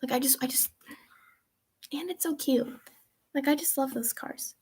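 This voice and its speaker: A woman's voice: high-pitched, moderate pace, darkish tone. A teenage girl in a casual vlog, answering viewer questions in a chatty, rambling way with lots of ums and likes. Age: teens. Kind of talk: casual chat.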